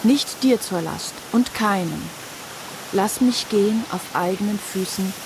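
A woman's voice: mid-range voice, unhurried, background noise, lightly acted. An actress playing a headstrong, outspoken woman construction worker in a radio drama. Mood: bitter, defiant.

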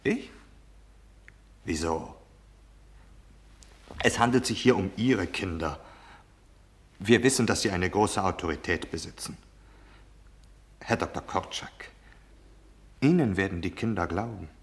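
Cold, domineering military officer, low voice. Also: male voice, measured pace, long pauses. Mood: cold menace. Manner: curt, commanding, impatient, cynical. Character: cold, domineering military officer delivering deadly orders, male. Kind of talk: German radio drama acting.

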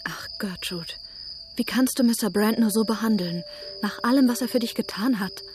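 An actress playing a gentle, virtuous young sister in a radio drama, earnest and reproachful, sounding wounded. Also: female voice, a little high, normal speed, faint music behind, lightly acted. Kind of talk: German radio drama acting.

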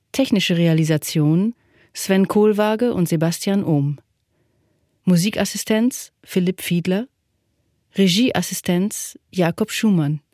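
A woman announcer reads radio credits in a low-leaning, mellow voice. She takes her time, formal and measured, in a very dry studio. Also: no echo.

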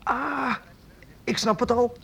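A man's voice: extremely high pitch, steady tone, takes it slowly, gravelly, sharp tone, breathy edge, exaggerated voice. Een man speelt een trouwe, beleefde jonge leerling in een hoorspel, met een hese stem. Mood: eager. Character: loyal young male apprentice, eager and polite. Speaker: apprentice. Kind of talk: radio play acting.

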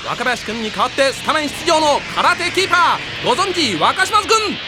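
An actor playing an excitable cartoon soccer stadium announcer, calling the match with dramatic emphasis. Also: male voice, extremely high pitch, brisk. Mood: excited. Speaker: sports play-by-play commentator. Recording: loud music behind the voice.

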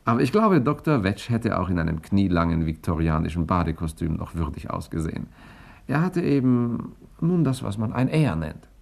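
A cool, wry reporter in a 1960s German radio crime serial. His voice sits very low, with a gravelly creak and a husky edge. He sounds dry and self-possessed. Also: big pitch swings, normal speed, a little mellow, heavily acted. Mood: wry narrating. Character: cool, wry male journalist telling his own crime story. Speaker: lead actor and narrator.

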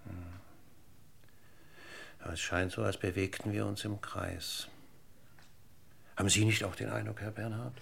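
An actor playing a seasoned police inspector in a radio crime drama, deliberate and insinuating, with ironic courtesy and a gravelly voice. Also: male voice, on the low side, fairly even intonation, fairly bright tone, a little hiss or hum, heavily acted. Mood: suspicious, courteous.

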